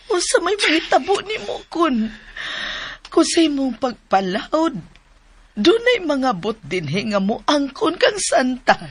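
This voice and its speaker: A woman with a Cebuano accent voicing a gentle, worried middle-aged mother in a radio drama, pleading and expressive. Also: high-pitched, slow, slight background noise, heavily acted.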